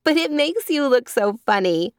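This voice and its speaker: A curious, outspoken little girl from a children's tale, voiced by a woman reader. She speaks frankly in a raised, girlish pitch and is quick to scold. The sound is close and dry. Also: big pitch swings, dry recording. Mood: amused. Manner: frank, reproving. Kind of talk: children's storybook character reading.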